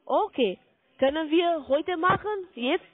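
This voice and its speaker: A woman with a foreign accent in German on a sales call, halting and insistent with her questions. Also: very high-pitched, animated, no room sound. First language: not German. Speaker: call center sales agent. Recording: narrow-band phone line.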